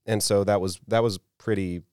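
A man in his twenties co-hosts a podcast in an earnest, rambling way. His voice is deep, and the recording sounds dry and close. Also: steady tone, moderate pace, darkish tone, smooth, dry recording. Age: 20s. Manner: earnest, rambling, many restarts. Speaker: podcast co-host. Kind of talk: casual podcast conversation.

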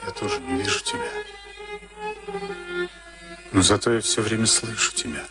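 An actor playing a brooding, stubborn boxer in a Soviet radio play, speaking in an intense, introspective, insistent way. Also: male voice, fairly low pitch, huge pitch swings, normal speed. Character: brooding, stubborn professional boxer before a fight. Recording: archival radio drama, loud music behind.

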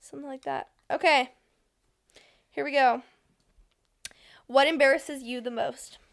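A woman's voice: sits high, steady tone, a little slow, creaky, a bit bright. A teenage woman co-hosting a casual chat with a friend, teasing and giggling as she reads out questions. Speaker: podcast co-host.

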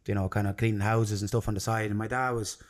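A man's voice: on the low side, flat delivery, fast talker. A man in his thirties with an Irish accent, chatting casually and earnestly as a podcast guest, rambling a little. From Ireland and London. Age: 30s. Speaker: podcast guest, jiu-jitsu coach. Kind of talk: casual chat.

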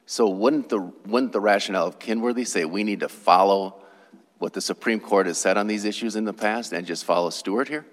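A man questioning counsel from the bench as a judge, probing and thinking aloud in halting phrases. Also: lowish voice. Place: courtroom. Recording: long courtroom reverb.

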